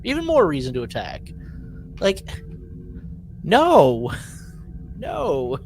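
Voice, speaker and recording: A man's voice: on the high side, wildly swooping pitch, takes it slowly, gravelly, darkish tone, soft background music. A man co-hosting a casual podcast recap, talking emphatically, repeating himself for effect and acting out mock quotes. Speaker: podcast co-host. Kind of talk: animated casual recap chat.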